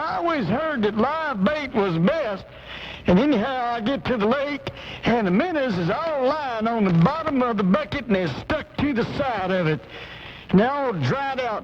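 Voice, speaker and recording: A man playing an earnest, hapless amateur fisherman who rambles folksily as a caller in a comedy radio sketch. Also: very high voice. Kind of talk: comic radio call-in sketch. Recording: muffled noisy caller line, cassette.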